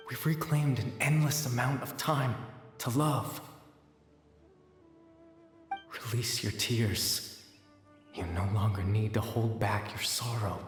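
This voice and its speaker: A man voicing a gentle, solemn figure reciting a mythic elegy in a video game, soothing and measured. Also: medium pitch, lightly acted. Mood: tender. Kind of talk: dramatic video game narration. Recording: echoing long reverb, faint music.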